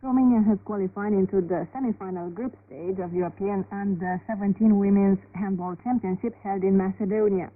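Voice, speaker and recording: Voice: mid-range voice, fairly even intonation, fairly quick, few pauses. A sports news presenter reading a scripted bulletin, heard over a shortwave radio broadcast. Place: radio studio. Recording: noisy, narrow-band shortwave radio reception.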